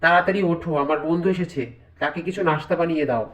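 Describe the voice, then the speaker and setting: A man's voice: a little high, steady tone, fast, a bit bright, distant mic, lightly acted, low-quality recording. A man voicing a domineering young husband in a cartoon, stern, irritated and giving orders. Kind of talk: Bengali cartoon acting.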